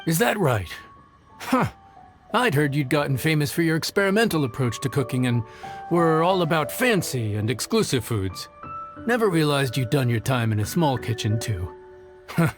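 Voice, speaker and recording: A man's voice: a little high, animated, moderate pace, music in the background, close to an everyday voice. A man voicing a genial, gracious inn cook in a video game, with a hearty, folksy manner. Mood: friendly, impressed. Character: genial, gracious male inn cook praising his rival.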